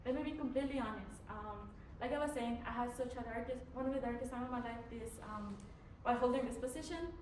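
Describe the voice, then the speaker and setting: A woman's voice: a little high, fast, darkish tone, slight background noise. A young woman from Mexico, a native Spanish speaker with a Mexican accent, answering a panel's questions as a student candidate, hesitant with many fillers and restarts. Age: young adult. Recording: echoey room, from far off.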